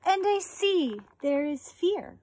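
High-pitched American woman reading to children. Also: animated, slow, darkish tone. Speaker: elementary school teacher reading a story. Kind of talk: children's picture book read-aloud. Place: outdoors on a residential deck.

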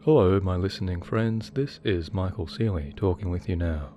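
A man guiding a hypnosis meditation in a soothing, measured way with deliberate pauses. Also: sits low, mellow. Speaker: hypnotherapy guide. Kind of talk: guided meditation, hypnosis narration. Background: relaxation music.

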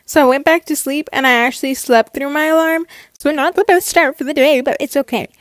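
A woman's voice: sits high, brisk. A young woman narrating a vlog casually, in a chatty, informal way full of filler words. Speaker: vlogger.

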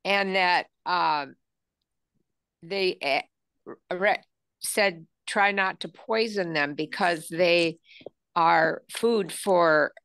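A woman in an online class speaks very slowly, stopping often to search for words. Her voice is smooth and clear, a little low, and sounds dry and close to the mic. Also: fairly bright tone, no echo. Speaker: class participant. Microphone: video call.

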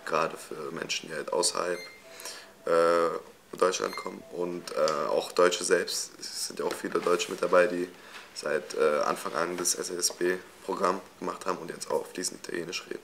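A man's voice: deep voice, fairly even intonation, normal speed, vocal fry, a bit bright, slight background noise. A teenage boy, a student, answering interview questions casually with some hesitation in a school library. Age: teens.

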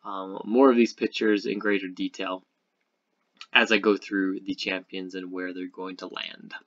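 A man with a fairly low voice talks through casual gaming commentary. His delivery is halting and hesitant, feeling its way along. The microphone sound is somewhat dull and muffled. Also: flat delivery, a bit bright. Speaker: gaming video creator. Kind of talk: casual card game prediction commentary. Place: voice-over recorded over screen capture.

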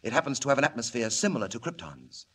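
A man's voice: mid-range voice, big pitch swings, fast, fairly bright tone, husky. An actor playing an anguished scientist father from a doomed planet, grave and melodramatic, in old 1940s radio serial style. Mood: grave, tender.

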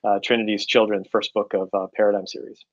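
Low-voiced middle-aged man, halting delivery. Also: level tone. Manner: halting, repeats words while explaining. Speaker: author being interviewed. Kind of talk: conversational interview answers. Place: indoors at home, room with bookshelves, on a video call. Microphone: video call. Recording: slightly dull video-call audio.

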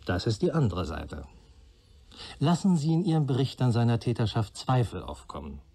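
An actor playing an analytical police assistant in a radio play, methodically reasoning step by step. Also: male voice, mid-range voice, sing-song, moderate pace, dark tone, husky.

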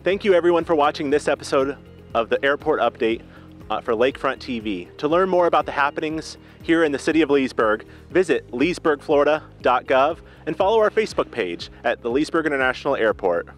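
Fast-talking upbeat host guy in his 30s, handheld mic, faint music behind. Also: a little high, lively intonation. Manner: upbeat, brisk presenter delivery. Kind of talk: local TV host interview.

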